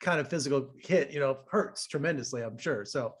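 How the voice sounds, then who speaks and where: A man from Las Vegas, a film critic, interviewing an actor. He talks casually and warmly praises the cast, with many fillers. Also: medium pitch, fairly quick. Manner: effusive and complimentary, with fillers.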